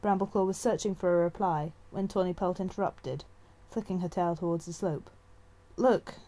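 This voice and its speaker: A woman's voice: fairly low pitch, fairly even intonation, brisk, mellow, poor audio. A woman narrating a story as an amateur audiobook reader.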